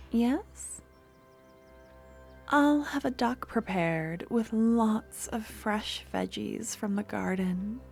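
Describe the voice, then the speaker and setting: A woman performs a sweet, possessive young woman merchant in an ASMR audio roleplay. Her voice is mellow and unhurried, soft and close. She often sinks into a hushed whisper. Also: a little high, gravelly, heavily acted. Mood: doting. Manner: soft, intimate, slips into whispers.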